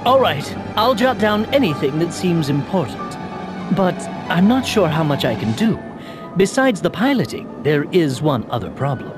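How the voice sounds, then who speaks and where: An actor voicing a suave, easygoing young adventurer in a video game, with a wry, measured, theatrical delivery. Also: male voice, on the high side, big pitch swings, over music. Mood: thoughtful.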